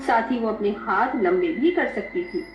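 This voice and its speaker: A woman's voice: medium pitch, moderate pace. A woman narrating a scripted dramatic story. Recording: echoey, dull, music behind.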